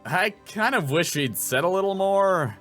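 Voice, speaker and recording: Casual male let's-player, rambling and jokey, very animated delivery, game music underneath. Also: high voice, normal speed. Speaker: voice actor playing through a game. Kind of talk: casual let's-play commentary.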